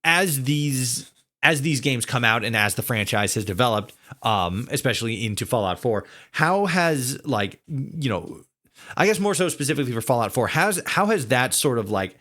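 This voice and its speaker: A man hosting a casual interview podcast, building long questions haltingly and repeating words as he goes. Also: mid-range voice, animated, sharp tone.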